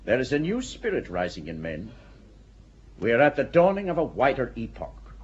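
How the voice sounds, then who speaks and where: Stern, wily archbishop with a lively, rising and falling voice, dull recording, played by a man. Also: mid-range voice, slightly rough. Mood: measured. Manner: measured, authoritative. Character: wily middle-aged archbishop, worldly and stern. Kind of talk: audio drama acting. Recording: dull audio with faint hiss.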